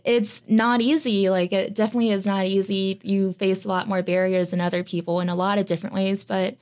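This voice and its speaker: A woman's voice: medium pitch, flat delivery, brisk, darkish tone. Chatty young woman, filler-heavy. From United States. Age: young adult. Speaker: student guest. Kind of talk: casual interview answers.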